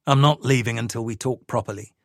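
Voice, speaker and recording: A man's voice: mid-range voice, fast, no room sound, clean studio sound, close to an everyday voice. A man voicing an earnest, pleading father. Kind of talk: audiobook character reading.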